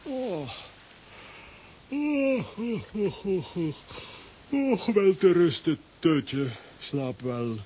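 A soft-hearted, dramatic wanderer who speaks extremely slowly with big swings of pitch. He sighs and laments plaintively in a children's radio play, with a faint hiss on the recording. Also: sing-song, full character voice. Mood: sleepy, tender. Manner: sighing, plaintive, repetitive. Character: sentimental, dramatic male wanderer fond of his friend.

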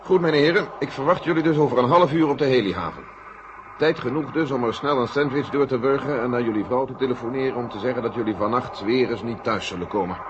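A man's voice: medium pitch, fast, highs cut off, natural voice. A man playing an authoritative, drily ironic general in a 1970 radio drama. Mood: decisive. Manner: commanding, dry irony. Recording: noisy 1970 radio recording.

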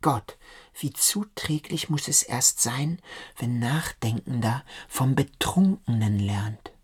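A man's voice: medium pitch, expressive, slow, breathy edge. A man narrating a literary radio drama in the first person. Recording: music behind the voice. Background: music.

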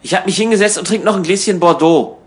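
A man's voice: high-pitched, quick pace, lightly acted. A man playing a restless, irritable emigrant in a hotel room, in conversational radio play dialogue. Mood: defensive. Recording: clear background ambience noise.

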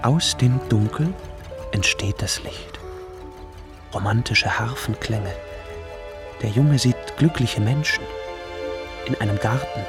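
An actor playing a brooding narrator in a radio drama, reciting terse screenplay directions in a deliberate manner. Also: male voice, medium pitch, over music, close to an everyday voice. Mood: somber.

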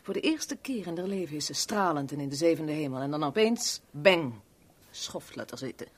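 A woman's voice: sits low, lively intonation, moderate pace, breathy edge. An actress playing a worldly, sardonic kept woman in a 1970s radio play, with a wry, teasing, blunt way of talking. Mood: cynical, frank.

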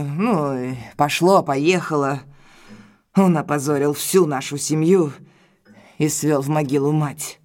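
An actress playing a middle-aged, earnest and distraught housekeeper pleading for her family in an audio drama. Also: low voice. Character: stout frightened housekeeper, loyal, pleading, sorrowful older sister. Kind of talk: Russian audio drama acting.

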